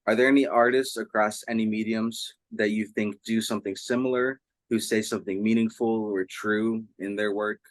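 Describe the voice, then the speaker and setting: Low-voiced male interviewer, dry sound. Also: fairly even intonation, moderate pace, hardly any pauses, no room sound. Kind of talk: casual interview, reading out fan questions.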